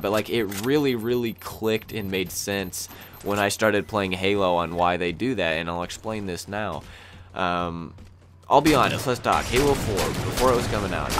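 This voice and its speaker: A young man with a deep, low voice talks over gameplay, rambling and thinking aloud with constant "um"s. His throat sounds a little scratchy and raspy. Loud gunfire and explosions crackle behind him. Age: young adult. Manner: rambling, lots of "um" and "you know", thinking aloud. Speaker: gaming channel host. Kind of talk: gameplay commentary.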